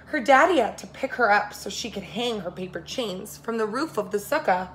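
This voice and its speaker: A woman reads a children's story aloud in an expressive teacherly way, speaking straight to her young listeners. Her voice sits at a middle pitch, and there is noticeable ambient noise in the recording. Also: normal speed, rough recording. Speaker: preschool teacher reading stories to children.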